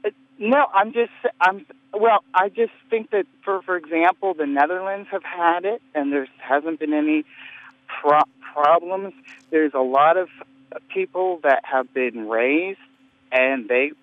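A man calling in to a radio debate, answering in a halting, hesitant way with many restarts. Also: fairly high pitch, no room sound. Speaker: caller. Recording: tinny phone line.

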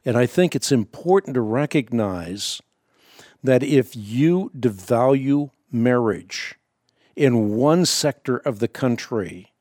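A man's voice: medium pitch, expressive, slow, darkish tone, no echo, studio quality. A Dutch-born man with Dutch as his first language, hosting a call-in radio debate and pressing his caller with probing, argumentative questions. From Netherlands.

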